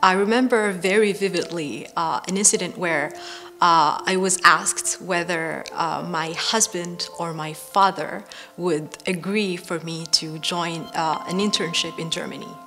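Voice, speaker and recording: A young woman from Morocco, a data scientist, speaking earnestly in a seated interview with measured pauses and some "uh"s. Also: on the low side, bright, forceful tone, faint music behind. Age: young adult. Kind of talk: corporate interview. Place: bright furnished indoor room.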